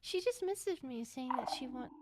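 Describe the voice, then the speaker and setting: A woman's voice: high voice, animated, normal speed. A woman chatting in character in an improvised roleplay, recorded with some faint noise and clicks. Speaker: roleplayer in a Minecraft court drama.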